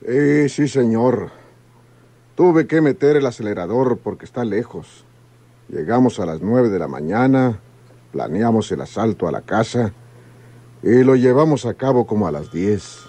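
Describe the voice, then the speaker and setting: An actor playing an obedient gangster getaway driver in a radio serial. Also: male voice, mid-range voice, lively intonation, measured pace, mellow, husky. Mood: deferential. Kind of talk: old-time radio serial acting.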